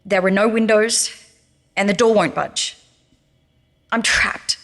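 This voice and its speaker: Scared, suspicious young female captive; slow, breathy, halting pleas, mid-range voice, podcast drama. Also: mid-range voice, a bit bright. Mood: frightened, pleading. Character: frightened, distrustful kidnapped young woman pleading for help. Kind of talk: audio drama recorded as voice message.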